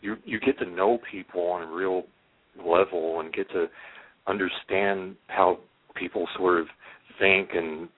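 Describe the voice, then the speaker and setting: Husky low-voiced male phone caller. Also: fairly even intonation, unhurried. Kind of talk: casual, reflective phone chat. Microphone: telephone. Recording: muffled narrow telephone line.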